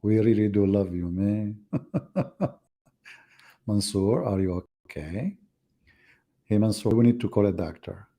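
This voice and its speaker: A man's voice: on the low side, slow pace, pauses often, mellow. A middle-aged man hosting a livestream, taunting his audience with sarcastic rhetorical questions. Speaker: livestream host. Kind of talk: mocking livestream monologue.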